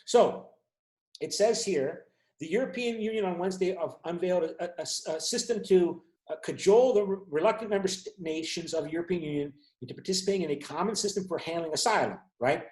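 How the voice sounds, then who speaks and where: A man's voice: high voice, brisk, a little mellow, echoey room. A man, a university lecturer, explaining at length in an online class and often checking in with his students. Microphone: video call.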